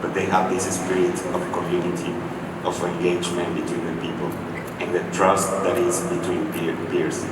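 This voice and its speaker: A man with a Mexican Spanish accent hosting a live onstage interview, talking in halting phrases with many fillers and restarts. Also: deep voice, monotone, far from the mic, very noisy. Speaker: event host and interviewer. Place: large echoey event hall. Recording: echoey hall recorded from afar, loud ambience.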